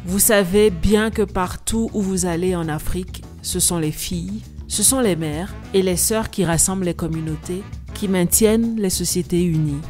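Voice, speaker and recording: A woman giving a steady voice-over translation of an interview. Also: fairly low pitch, normal speed, hardly any pauses, faint music behind.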